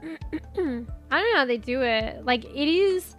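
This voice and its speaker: A woman streamer chatting casually with her viewers, rambling with lots of fillers and the odd swear, her voice going a bit hoarse. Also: high voice, lively intonation, unhurried. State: losing her voice, coughing. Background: music.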